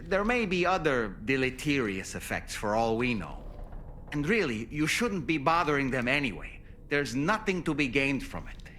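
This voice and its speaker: An actor playing a chatty, polite, bookish companion in a video game, musing in formal, fussy, articulate phrases. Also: male voice, mid-range voice, big pitch swings, moderate pace, bright, forceful tone. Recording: faint noise behind the voice.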